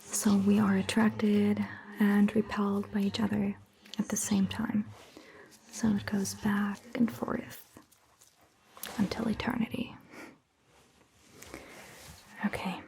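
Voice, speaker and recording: A woman playing a calm, caring vampire doctor in a breathy, gentle ASMR whisper, telling an old tale during an exam. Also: medium pitch, slight background noise, close to an everyday voice. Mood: calm, soothing. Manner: slow, soothing, hushed, gentle. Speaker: ASMR performer. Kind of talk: whispered ASMR roleplay storytelling.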